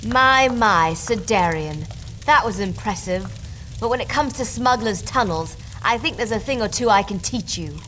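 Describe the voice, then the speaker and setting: A woman's voice: mid-range voice, expressive, moderate pace, fairly bright tone, a little hiss or hum, natural voice. An actress playing a sly female rogue companion in a fantasy video game, with a dry, wry delivery. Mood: teasing.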